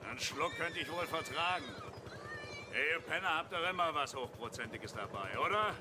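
An actor playing a chatty, coarse provincial farmer in a radio play, talking in a folksy, blunt, rambling way. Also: male voice, a little high, lively intonation, normal speed, natural voice. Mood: jovial, chatty. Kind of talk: German radio play acting. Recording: clear vehicle noise behind.

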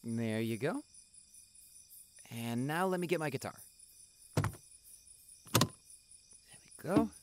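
A young man playing a devoted doctor boyfriend in a roleplay audio drama, speaking softly and affectionately with some hesitation. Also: medium pitch, expressive, takes it slowly, long pauses, slight background noise. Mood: flustered, affectionate. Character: tender, devoted doctor boyfriend on an anniversary date. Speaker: voice actor.

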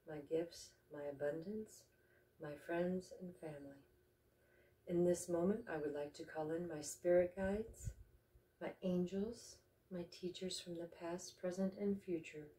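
A distant, roomy recording of a woman guiding a meditation. Her voice is low and soft-edged, with little brightness. She speaks in a reverent, deliberate way, leaving space between phrases. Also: a little hiss or hum. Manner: slow, reverent, deliberate with pauses. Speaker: meditation guide and ceremony host. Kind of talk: guided meditation with spoken invocations. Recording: roomy echo, distant microphone.

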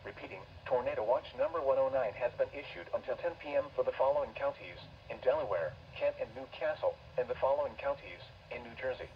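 A computer-generated man's voice reading a weather warning bulletin in an even, mechanical way, heard from a radio speaker. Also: mid-range voice, quick pace, hardly any pauses. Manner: even, mechanical listing of places and times. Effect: text-to-speech voice. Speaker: automated weather alert announcer. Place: weather radio played on a desk indoors. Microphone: camera recording a radio speaker. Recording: dull radio speaker, faint hiss.

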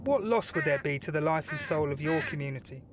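A man's voice: fairly high pitch, animated, brisk, very noisy. A man in his thirties presenting a scripted promotional film as programme manager. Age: 30s. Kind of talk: scripted narration. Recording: music behind the voice. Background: music.

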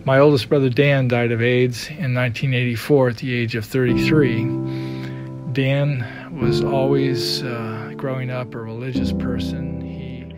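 A man in his sixties gives personal testimony in a mid-pitched voice. Music is loud behind him. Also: level tone, unhurried. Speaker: listener leaving a message.

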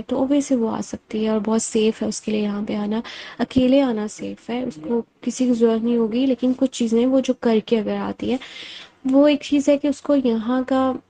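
A woman speaks in a soft-toned, slightly high voice, recorded dry and close as a voice note. She gives explanatory advice, mixing English words into her talk. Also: steady tone, normal speed, no room sound, poor audio. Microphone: phone voice note.

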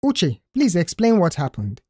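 A woman's voice: on the low side, wildly swooping pitch, measured pace, mellow, husky, dry recording, studio quality, exaggerated voice. A woman voicing a stern, exasperated male boss who scolds with sarcastic questions in an audio story.

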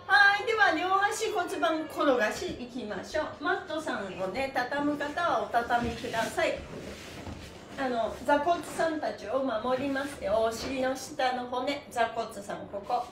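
High-voiced cheerful woman leading stretches, echoey room. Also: lively intonation, measured pace. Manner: cheerful, encouraging, singsong chanting. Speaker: stretching instructor. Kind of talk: follow-along exercise instruction with chanted counts. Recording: echoey room, faint background noise.

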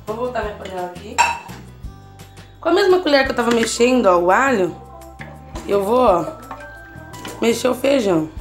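A woman's voice: on the high side, animated, normal speed, long pauses, music in the background. Chatty woman talking through a home recipe. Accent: Brazilian Portuguese. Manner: chatty, constantly checking in with the viewer. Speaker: cooking channel host. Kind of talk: casual home cooking tutorial. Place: home kitchen, at the stove.

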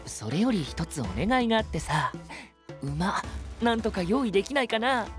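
High, boyish warrior. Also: male voice, very animated, moderate pace, over music. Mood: playful. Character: boyish, battle-hungry young warrior, playful. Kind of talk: anime voice drama acting.

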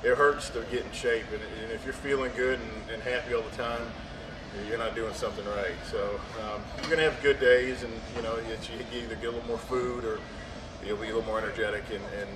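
Flat-voiced man in an echoey gym. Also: medium pitch, brisk, background noise. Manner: plain, matter-of-fact, trailing fillers. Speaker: competing bodybuilder. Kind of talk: casual gym talk to camera. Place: large indoor gym. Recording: echoey gym audio from a distance.

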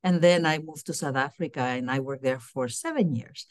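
A woman's voice: very deep voice, moderate pace, dry recording, clean studio sound. Earnest middle-aged woman on a video call. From Puerto Rico. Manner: earnest, repeats words while searching for phrasing. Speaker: guest, university diversity director. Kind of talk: reflective interview answers. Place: small home room, indoors. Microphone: laptop webcam on video call.

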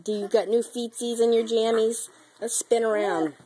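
A woman's voice: on the high side, fairly even intonation, moderate pace, dark tone, breathy edge, poor audio. Sick mother in sing-song baby talk. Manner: sing-song, playful, teasing. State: sick, voice lower than usual. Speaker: mother filming her baby. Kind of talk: home video baby talk. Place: baby's nursery, indoors. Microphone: handheld camera.

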